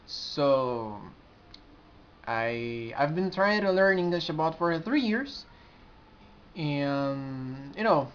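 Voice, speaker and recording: A man's voice: a little high, animated. Earnest young Brazilian man, lo-fi audio. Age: 20s. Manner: earnest, halting, with frequent restarts. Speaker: community administrator. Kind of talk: casual vlog to camera. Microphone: built-in camera mic. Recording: dull lo-fi camera audio.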